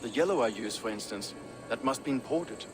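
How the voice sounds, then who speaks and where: An actor playing a proud, ambitious but loyal Viking shipbuilder, speaking in a measured, earnest way for a video game. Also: male voice, mid-range voice, expressive, music in the background, close to an everyday voice. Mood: fond, measured. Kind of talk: dramatic video game cutscene dialogue.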